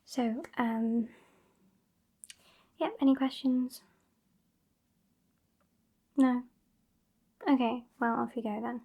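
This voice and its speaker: A sassy British vampire lady, played by a woman for an ASMR roleplay, speaks in a soft, breathy half-whisper. The voice sits fairly high yet stays mellow, with some creak in it. She is haughty and bossy, teasing her listener with dry condescension. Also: little pitch movement, very slow, pauses often, muffled recording, heavily acted. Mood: bossy, weary. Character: sassy, bossy female vampire, over a century old.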